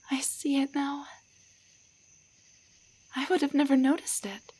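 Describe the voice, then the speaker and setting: A woman voicing a timid, grateful tiny enchanted doll in an intimate roleplay audio drama, speaking softly, gently and haltingly with a breathy voice. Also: high-pitched, exaggerated voice. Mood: shy wonder. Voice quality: soft, breathy.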